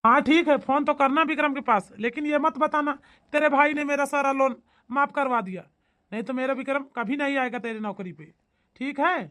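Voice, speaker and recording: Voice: high-pitched, normal speed, fairly bright tone, no room sound. A performer voicing a protective, firm elder brother in a cartoon comedy, with an Awadhi accent and an emphatic delivery. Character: protective married man, elder brother, firm problem-solver.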